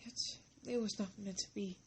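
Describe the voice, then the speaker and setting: A woman playing an older, gentlemanly crime boss in a fan comic drama, fatherly and sentimental, with courtly, halting phrasing. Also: mid-range voice, steady tone, slight background noise, natural voice. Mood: tender, wistful.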